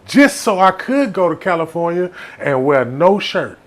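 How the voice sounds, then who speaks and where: Male comic storyteller, Southern US accent, slightly high voice, casual, rambling, animated. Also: normal speed. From Texas, United States.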